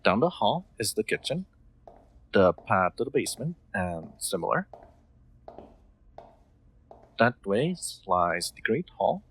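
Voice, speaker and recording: A man playing a gentle, solicitous nobleman in an intimate roleplay audio drama, speaking in formal, courteous, slightly hesitant phrases. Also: on the low side, big pitch swings, moderate pace, slightly rough, full character voice. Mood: welcoming, attentive. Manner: formal, old-fashioned courteous phrasing, hesitant, soft chuckles. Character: gentle, solicitous nobleman, new master of an automaton.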